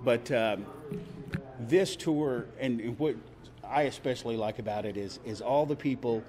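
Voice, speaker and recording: Casual male interviewer, medium-pitched voice. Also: measured pace, over music. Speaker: music magazine interviewer. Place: backstage at a music venue. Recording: ambient background noise.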